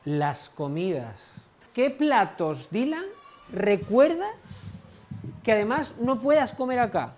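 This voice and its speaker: A woman's voice: on the high side, big pitch swings, slow, pauses often, a little mellow, a little hiss or hum. An adult woman teacher leading a classroom discussion with children, asking questions and repeating their answers back to them. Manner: asks questions, repeats pupils' answers back, encouraging "ujú".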